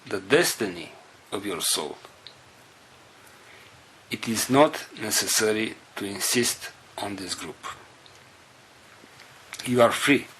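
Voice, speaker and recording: A man whose first language is Romanian, leading a spiritual group and giving an unscripted talk in slow, halting fragments. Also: fairly low pitch, a bit bright, slight background noise.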